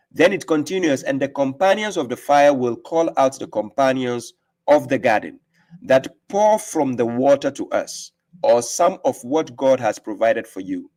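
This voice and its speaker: A man with a mid-pitched voice hosts a live religious stream. He reads verses aloud slowly and with weight, then explains them in plain terms, often checking in with a quick "right?". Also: a little mellow, rough recording. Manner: reads scripture aloud with slow emphasis and explains it; asks rhetorical "right?" often. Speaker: host and religious teacher.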